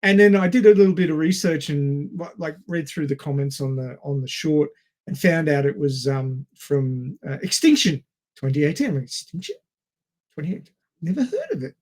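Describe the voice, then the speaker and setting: A man with a high-set voice talks as a podcast co-host. He is conversational and animated, with a wry, sweary way of telling a story. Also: moderate pace. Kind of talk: casual film discussion.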